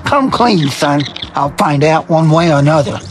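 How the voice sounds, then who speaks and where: A male actor as a cocky frontier militiaman for a video game, pitched high for a man. He is gruff and blunt, tossing out folksy slang, with music behind him. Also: animated, moderate pace, slight background noise, natural voice. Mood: wary, threatening.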